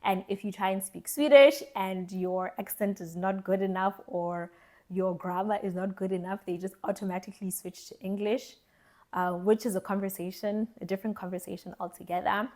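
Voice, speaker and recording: A young woman from South Africa giving a candid, reflective vlog monologue, earnest and thoughtful, often pausing and restarting her words. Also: mid-range voice, fairly even intonation, warm tone. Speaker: vlogger.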